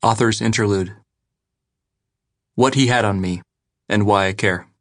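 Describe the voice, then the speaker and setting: A man's voice: on the low side, a little slow, a bit bright, no echo, clean studio sound. A man, the author, reading his own first-person introduction to an audiobook in a plain, direct way.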